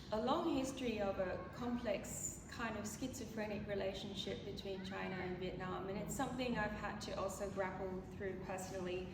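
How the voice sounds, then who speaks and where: A woman from Australia speaks at a gallery opening in a measured, reflective way, with a few hesitant fillers. Her mid-range voice is picked up from across the room. A long echo and steady background noise fill the space. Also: medium pitch. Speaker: artist speaking at an exhibition opening. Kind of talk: gallery opening speech, partly read aloud. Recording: distant mic in a roomy gallery with background noise.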